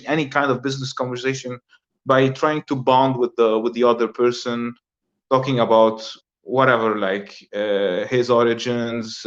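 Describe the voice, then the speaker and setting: A man in his thirties from Lebanon, a guest talking casually in an interview, relaxed and hesitant with many fillers and repeated words. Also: medium pitch. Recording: slightly dull lo-fi audio.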